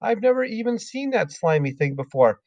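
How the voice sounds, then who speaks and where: A man's voice: high voice, sing-song, moderate pace, darkish tone, no room sound, voice pushed up. A man voicing a frightened little schoolgirl protesting her innocence. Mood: pleading. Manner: pleading. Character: small frightened schoolgirl, earnest and pleading. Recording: dull lo-fi sound.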